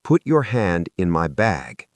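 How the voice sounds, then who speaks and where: An earnest young schoolboy in a children's audiobook, voiced by a man. He sounds anxious and urgent, stressing his words with very mobile pitch. The recording is dry, with hardly any room. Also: sing-song, no room sound, exaggerated voice. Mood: tense, urgent. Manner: slow, emphatic, hushed urgency.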